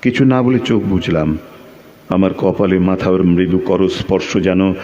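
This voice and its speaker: A man acting a lovesick, quick-tempered man who tells his own story in a theatrical, emotional radio-play style. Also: deep voice, fairly even intonation, warm tone, muffled recording. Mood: brooding. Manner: theatrical, emotional, with repeated pleading phrases. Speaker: audio drama actor and narrator. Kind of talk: dramatic first-person narration of a Bengali audio play.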